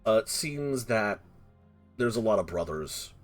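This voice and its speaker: A man narrating a tabletop roleplaying game as game master, halting and repeating words as he improvises. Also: fairly low pitch, expressive. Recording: music playing behind the voice. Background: music.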